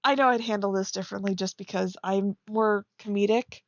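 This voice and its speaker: A woman's voice: medium pitch, fairly even intonation, fairly quick, dry recording, lo-fi sound. A woman chatting casually on a stream as she reads aloud and critiques, teasing and blunt with sarcastic asides. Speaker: streamer reading and critiquing fan fiction. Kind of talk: casual live commentary mixed with reading aloud.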